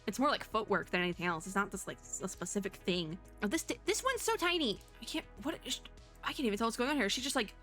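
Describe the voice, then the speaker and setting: A woman gaming vlogger giving casual reaction commentary to viewers in a giggly, excitable, rambling way. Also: medium pitch, fast talker, faint music behind.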